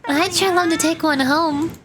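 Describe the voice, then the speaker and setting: A woman voicing an excitable, innocent, wide-eyed little boy in a video game. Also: extremely high pitch, moderate pace. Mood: excited. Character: excitable, innocent little boy who adores his brother. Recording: music behind the voice.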